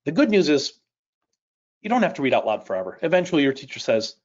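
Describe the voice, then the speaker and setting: A man's voice: fairly high pitch, very animated, fast, darkish tone, highs cut off. A middle-aged man from Chicago whose first language is Polish, leading a live online reading class in a conversational way, asking his audience questions and making light jokes. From Chicago, United States. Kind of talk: live webinar instruction. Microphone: personal mic on a webcam live stream.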